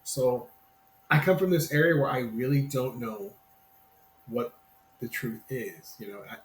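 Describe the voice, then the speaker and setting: A man's voice: mid-range voice, animated, unhurried. A man in his fifties, a podcast guest chatting casually in a rambling, self-interrupting, friendly way. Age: 50s. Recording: roomy sound with faint ambient noise.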